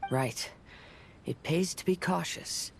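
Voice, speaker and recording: A man playing a calm, cautious young swordsman in a video game, speaking in a measured, reflective way. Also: on the high side, gravelly, a little hiss or hum. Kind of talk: video game cutscene acting.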